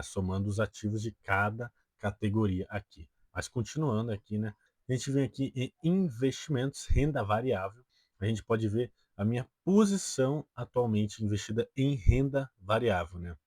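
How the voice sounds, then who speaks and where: Deep-voiced young Brazilian man, casual explainer. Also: a little mellow, husky, no echo. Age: 20 years old. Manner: peppers sentences with tag questions. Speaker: personal finance video host.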